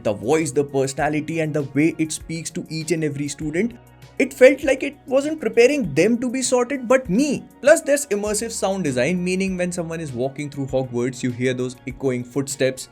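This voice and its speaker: A man's voice: fairly high pitch, darkish tone. A young man hosting a vlog, eagerly recommending something in an emphatic storytelling way. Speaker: channel host. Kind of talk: sponsored recommendation monologue.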